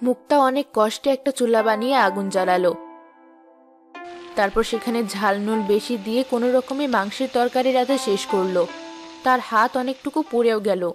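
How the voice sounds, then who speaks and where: High-voiced woman narrating a cartoon story. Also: brisk, music in the background.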